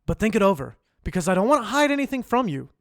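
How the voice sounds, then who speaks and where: A man voicing an eager, excitable middle-aged scientist who speaks in an urgent, animated way. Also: fast, no room sound, studio quality, exaggerated voice. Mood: excited. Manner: urgent, animated, rising pitch.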